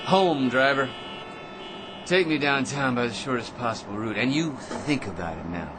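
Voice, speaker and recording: Man acting cocky, animated American chatterbox with crackpot schemes. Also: mid-range voice, normal speed, background noise, lightly acted. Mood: smug. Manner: rambling, smug, stammering excitedly, pestering with tag questions. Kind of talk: radio drama acting. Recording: radio drama with music underneath.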